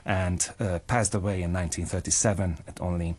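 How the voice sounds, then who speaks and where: A man's voice: low voice, steady tone, a little fast, a little mellow, slightly rough. A man in his thirties from Hungary with a Hungarian accent, speaking earnestly and carefully as he tells personal stories as an interview guest. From Budapest, Hungary. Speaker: interview guest, actor and playwright. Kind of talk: personal storytelling in a radio interview.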